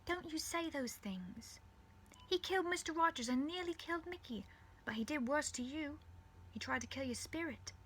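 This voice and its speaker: A woman's voice: high voice, expressive, normal speed, a little hiss or hum, pitched above the performer's own voice. A woman voicing a tender, reassuring middle-aged mother, soothing and earnest, in a dramatised story reading. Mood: consoling.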